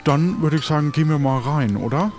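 A man's voice: fairly high pitch, brisk, music in the background. A man improvising as an affable pirate and former tavern keeper, polite and hesitant, often stammering on his first words. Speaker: player in an improvised pirate audio adventure. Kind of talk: improvised in-character role-play dialogue.

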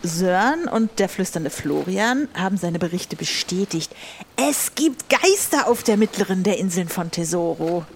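A woman's voice: on the low side, lively intonation, unhurried, slight background noise. A woman playing a commanding pirate captain in an audio role-play adventure. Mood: commanding, mysterious.

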